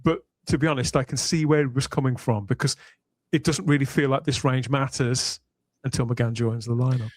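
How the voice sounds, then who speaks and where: A man's voice: medium pitch, fairly even intonation, a little fast, no room sound. A man hosting a casual fan discussion, conversational and inviting agreement. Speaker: podcast host.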